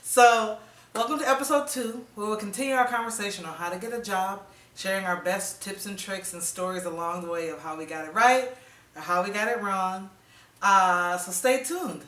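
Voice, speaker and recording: A woman hosting a casual chat, welcoming everyone and steering the talk with quick agreeing replies. She sounds set back from the mic, in a room with a roomy echo. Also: mid-range voice, a bit bright. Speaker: host. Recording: echoey room, from a distance.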